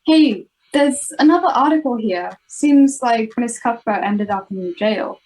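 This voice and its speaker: A woman's voice: fairly high pitch, animated, unhurried, darkish tone, low-quality recording. An Australian girl of ten or eleven playing an earnest, urgent schoolgirl adventurer in an amateur radio play. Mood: alarmed. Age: child, 10 to 11. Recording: home video-call recording.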